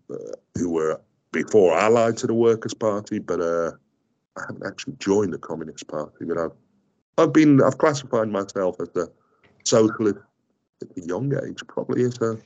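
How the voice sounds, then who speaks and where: A man's voice: low voice, a little mellow, lo-fi sound. A man whose first language is Greek, speaking as an interview guest in a hesitant way, with many fillers and restarts. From South Africa. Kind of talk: casual interview talk.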